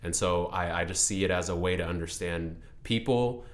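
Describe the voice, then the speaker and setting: A man's voice: sits low, normal speed. A young man raised in Seattle in an Ethiopian family, giving thoughtful, explanatory answers as an interview guest, often restarting his sentences. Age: young adult.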